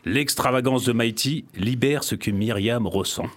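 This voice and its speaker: A man of medium pitch co-hosts a radio show. He reads out a written portrait with wry asides and teases the others. He often laughs at his own lines. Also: moderate pace. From Paris region, France. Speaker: radio co-host. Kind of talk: reading a written portrait, then banter.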